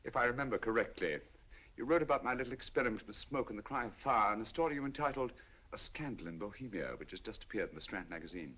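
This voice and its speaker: An actor playing a calm, shrewd master detective in an old radio drama, dry and gently mocking. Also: male voice, on the low side, fast talker, slightly rough, heavily acted. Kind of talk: 1940s radio acting. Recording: muffled old radio recording.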